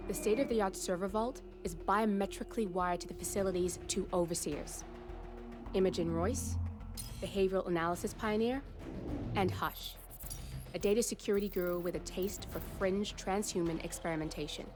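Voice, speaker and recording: A woman's voice: medium pitch, steady tone, moderate pace, music in the background, lightly acted. An actress playing a wry, jaded young female hacker in a video game cutscene, dry and matter-of-fact. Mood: dry briefing.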